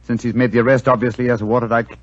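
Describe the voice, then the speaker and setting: An actor playing a brisk, sharp-minded detective in a 1940s radio drama. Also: male voice, mid-range voice, flat delivery, no room sound, muffled recording, lightly acted. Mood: measured.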